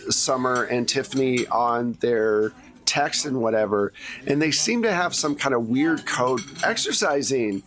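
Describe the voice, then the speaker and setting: A man's voice: medium pitch, a bit bright. A man speaking informally and at some length as a game master, recapping the story for players in a conversational way.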